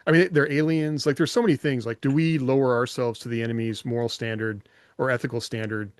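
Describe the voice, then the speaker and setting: A man's voice: mid-range voice, fairly even intonation, fast talker, no room sound. Reflective man chatting on a dry-sounding podcast. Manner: reflective, rambling, hedging with rhetorical questions. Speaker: podcast host and author. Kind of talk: casual podcast discussion.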